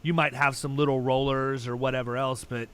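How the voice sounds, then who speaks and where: A man's voice: mid-range voice, flat delivery, a little fast, fairly bright tone, clear, resonant tone. A man hosting a podcast, telling stories in a blunt, emphatic and talkative way, often restarting his sentences. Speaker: podcast host. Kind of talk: casual podcast chat.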